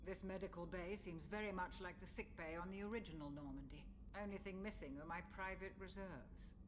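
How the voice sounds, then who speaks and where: An actress playing a kindly, composed ship's doctor in a video game, measured, gentle and formal. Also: female voice, fairly low pitch, background noise, lightly acted. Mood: composed, wistful.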